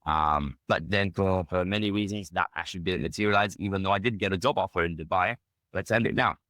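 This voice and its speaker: A man's voice: deep voice, quick pace, fairly bright tone, no room sound, clean studio sound. A British man in his thirties telling his story in a casual, emphatic, conversational way as a podcast guest. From United Kingdom.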